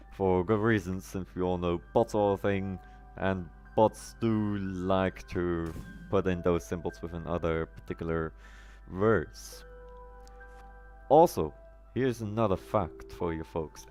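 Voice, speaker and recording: A man's voice: sits low, a little mellow. A man whose first language is German, live-streaming a game with casual commentary and chatting to viewers in wordy, oddly formal phrases.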